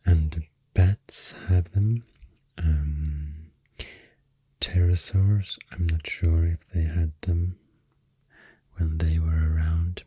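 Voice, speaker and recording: A woman with a Swedish accent doing soft-spoken ASMR rambling, breathy and whispery, hesitant and unhurried, close to the microphone. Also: a bass voice, steady tone, no echo. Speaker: ASMR artist. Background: soft pencil sounds.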